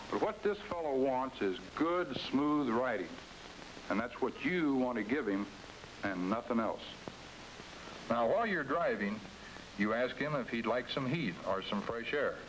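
A man's voice: medium pitch, a bit bright, poor audio. Middle aged american guy doing 50s sales training narration, folksy and direct, bit of hiss on old film. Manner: folksy, direct second-person coaching. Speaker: company executive presenting a dealer training film. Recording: hissy 1950s film soundtrack.

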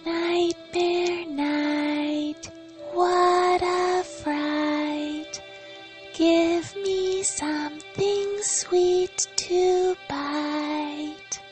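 Woman voicing a high, slow, singsong little filly. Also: steady tone, soft background music, heavily acted. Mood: eerie singsong. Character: small eerie ghost-costumed filly, chanting a rhyme.

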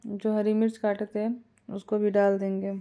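A woman calmly talking through a home cooking recipe step by step, with small hesitations. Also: mid-range voice, moderate pace. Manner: calm step-by-step instructions with small fillers. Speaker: cooking channel host. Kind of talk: home cooking tutorial voice-over.

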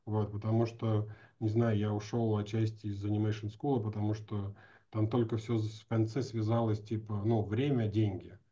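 Guy in his 40s, really dark mellow voice, slangy casual interview chat, bit lo-fi video call. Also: lowish voice, flat delivery, normal speed. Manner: informal, slangy, thinking aloud. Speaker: animation teacher. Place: indoors at home or office, on a video call. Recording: dull lo-fi video call audio.

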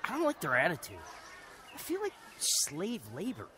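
A man's voice: high-pitched, wildly swooping pitch, moderate pace, noisy background. A man acting as narrator of a radio drama, playing someone earnestly recalling his bitter, lonely youth. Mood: resentful. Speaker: narrator and lead actor. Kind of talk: scripted first-person radio drama narration.

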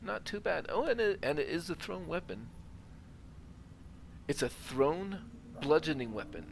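Gravelly man narrating his video game. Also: fairly high pitch, animated, measured pace, slight background noise. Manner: thinking aloud. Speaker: gamer narrating own playthrough. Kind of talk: casual gameplay commentary. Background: game ambience.

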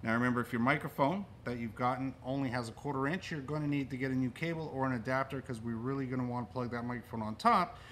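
A man's voice: mid-range voice, fast, few pauses, a bit bright. A middle-aged man giving a casual, explanatory product demonstration in plain-spoken style, talking as he handles the gear. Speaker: store presenter demonstrating audio gear. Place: indoors in a cluttered audio equipment store. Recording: noisy room recording with clicks.

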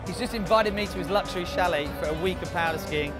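A man's voice: high-pitched, fast. A man in his thirties presenting a show with jokey roving interviews in a busy exhibition hall. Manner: jokey, light-hearted. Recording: loud music in the hall.

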